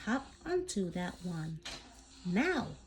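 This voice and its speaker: A woman's voice: fairly low pitch, sing-song, takes it slowly, a little hiss or hum. A woman voicing a brave, eager young princess knight girl in a storybook, earnest and exclaiming. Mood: encouraging.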